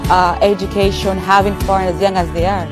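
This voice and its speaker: A woman's voice: medium pitch, little pitch movement, a little fast. A woman from Kenya, speaking earnestly in a recorded video, with some fillers. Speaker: scout, youth activist. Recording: video clip with guitar music behind. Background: guitar music.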